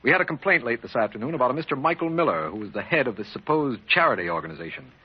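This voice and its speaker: An earnest district attorney speaks fast with businesslike authority. His voice is husky and animated, in old radio detective style, and the sound is muffled. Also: mid-range voice. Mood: urgent, puzzled. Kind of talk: old-time radio detective drama acting.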